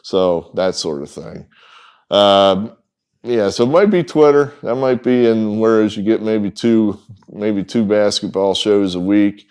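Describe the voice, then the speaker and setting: Rambling folksy man with a smooth low voice. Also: measured pace, darkish tone. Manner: rambling, folksy, frequent ums and trailing asides. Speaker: sports betting picks host. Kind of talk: casual off-the-cuff talk to camera. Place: indoor room with wood-paneled walls, in front of a whiteboard.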